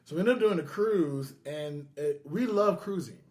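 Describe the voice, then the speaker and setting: A man's voice: fairly high pitch, lively intonation, normal speed, a little mellow. An American man from California talking casually as a podcast host. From California, United States.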